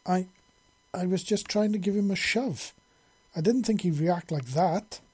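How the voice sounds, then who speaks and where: A man's voice: fairly high pitch, a little slow, warm tone, slightly rough. A man voicing a cheeky, sweet young daughter in an audiobook story, meddling and easily chastened. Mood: shocked. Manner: sweetly teasing.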